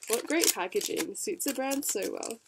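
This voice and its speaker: A woman's voice: on the high side, lively intonation, normal speed, gravelly, very noisy. A young woman vlogger, congested from a cold, chatting bubbly and casually while unboxing packages. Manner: chatty, bubbly, lots of fillers and likes.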